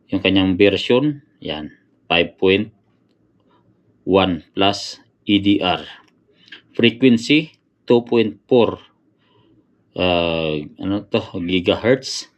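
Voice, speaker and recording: A man's voice: deep voice, lo-fi sound. A man giving casual unboxing commentary as a motorcycle vlogger, often hesitating to find words and addressing his viewers.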